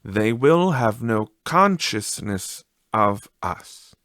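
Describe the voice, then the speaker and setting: A man voicing a gentle, solemn storybook ghost, childlike yet ancient, in calm and measured pronouncements. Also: lowish voice, no room sound, studio quality, heavily acted. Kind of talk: dramatised storybook reading.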